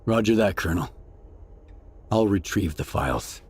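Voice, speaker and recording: An actor playing a terse, hardened mercenary with blunt, brief delivery in a video game cutscene. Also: male voice, deep voice, animated, normal speed, breathy edge, exaggerated voice. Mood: dutiful. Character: terse, questioning male zone stalker, hardened mercenary.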